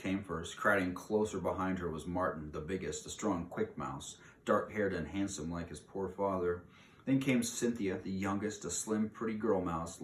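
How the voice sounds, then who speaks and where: A man's voice: deep voice, distant mic. A man reading a children's book aloud as narrator. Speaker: story reader. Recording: roomy echo, clear background hiss.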